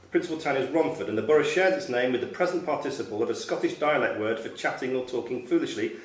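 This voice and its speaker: A man's voice: mid-range voice, quick pace. A man acting as quiz master, reading out questions and scores to a room. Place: club room at a pub-style quiz event. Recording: echoey room, distant mic, faint noise.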